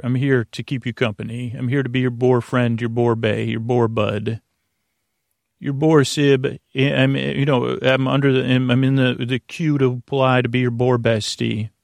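Rambling man with a gravelly voice. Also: mid-range voice, dry recording, studio quality. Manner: meandering, full of fillers and restarts, self-deprecating. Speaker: podcast host. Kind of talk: rambling sleep podcast monologue.

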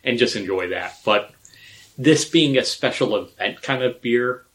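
A man's voice: mid-range voice, expressive, measured pace, a bit bright. A man chatting casually as a podcast co-host, talking things over in a reflective way. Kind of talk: casual chat.

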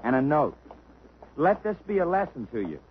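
A man's voice: a little high, very animated, normal speed, a little hiss or hum. An actor playing a breezy, wry young man in a 1950s radio drama. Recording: dull old radio recording.

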